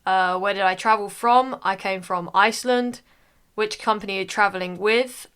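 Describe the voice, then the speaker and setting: A woman's voice: medium pitch, fairly even intonation, moderate pace, fairly bright tone, clear, resonant tone. A young woman from the United Kingdom talking casually through an online form, with frequent "uh" fillers. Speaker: tutorial narrator. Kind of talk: casual screen-recording walkthrough.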